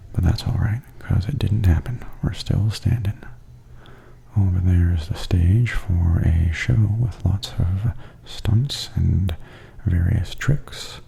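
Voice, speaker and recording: A man giving softly spoken ASMR tour commentary in a breathy, near-whispered, gentle and unhurried murmur. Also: deep voice.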